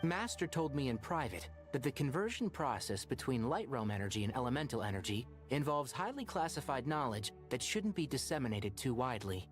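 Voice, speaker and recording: A man's voice: mid-range voice, big pitch swings, close to an everyday voice. Man playing an earnest, composed scholar over music. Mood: grave. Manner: measured, serious, polite. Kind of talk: video game voice acting.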